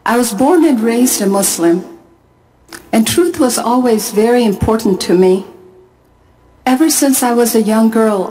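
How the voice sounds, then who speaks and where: A woman's voice: a little high, a little mellow, a little hiss or hum, rough recording. Earnest middle-aged woman giving testimony. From Pakistan. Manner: measured, earnest storytelling with deliberate pauses. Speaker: conference speaker. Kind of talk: testimony talk to a conference audience. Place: large arena.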